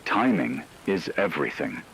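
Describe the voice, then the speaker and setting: Male narrator for dramatic scripted story: deep, unhurried, grave and portentous, over faint ambient noise. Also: very animated, creaky, fairly bright tone, low-quality recording. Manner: grave, measured, portentous delivery with deliberate pauses.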